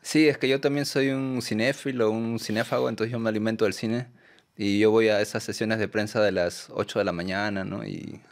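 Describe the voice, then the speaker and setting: Soft-spoken Peruvian man, low voice. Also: steady tone, brisk. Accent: Peruvian Spanish. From Peru. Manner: soft-spoken, hesitant, many fillers and tag questions. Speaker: documentary filmmaker, guest. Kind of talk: radio interview answers.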